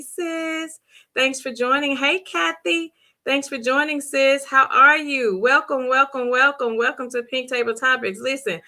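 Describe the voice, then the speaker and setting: Hoarse, bubbly woman live-streaming. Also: sits high, bright, forceful tone. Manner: bubbly, repetitive greetings. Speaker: livestream host. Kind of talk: live-stream chat greeting viewers.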